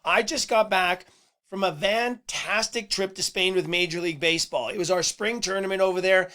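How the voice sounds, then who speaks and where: A man hosting a sports podcast in a casual monologue. His voice is a little hoarse and he talks earnestly, with "uh"s and repeated words. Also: high-pitched, moderate pace, sharp tone. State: slightly hoarse voice. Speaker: podcast host.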